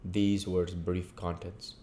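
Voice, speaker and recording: A man's voice: low voice, little pitch movement, unhurried, dark tone. A man reading a classic novel aloud as narrator and host of his own channel.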